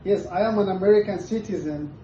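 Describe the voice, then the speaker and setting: Man from Morocco, casual chat guest, blunt, swears, mellow voice, distant echoey mic. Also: sits high, quick pace, a little hiss or hum. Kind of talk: casual conversation. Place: apartment room. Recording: distant, echoey, dull room recording.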